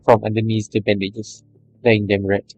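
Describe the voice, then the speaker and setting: A man's voice: fairly low pitch, level tone, normal speed, a little mellow, faint music behind, poor audio. A man reading a video game's story aloud, stumbling over some lines, with casual commentary. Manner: stumbles over read lines, re-reads phrases. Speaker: video game story narrator and player.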